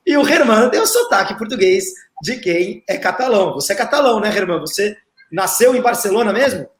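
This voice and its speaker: A man's voice: sits high, expressive, roomy sound. A man co-hosting a panel discussion who jokes and steers the talk between guests. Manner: joking, brisk moderator who steers the talk. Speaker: co-host columnist.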